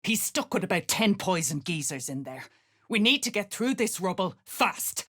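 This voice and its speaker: Barking, menacing duergar slave-driver sergeant. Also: female voice, fairly low pitch, sing-song, moderate pace, a bit bright. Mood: gruff, urgent. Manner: barking, threatening, coarse slangy speech. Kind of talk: video game character acting.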